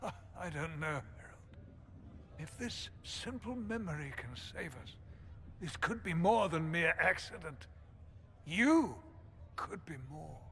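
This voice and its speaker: An actor playing a devout, earnest male church chancellor who speaks in a halting, reverent way, in a video game cutscene. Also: a little high, animated, a bit bright, slight background noise, full character voice.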